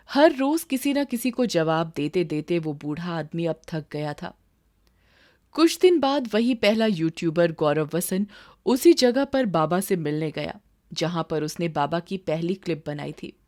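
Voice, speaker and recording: Woman storyteller reading out a scripted tale, voice a bit on the low side. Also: a little mellow.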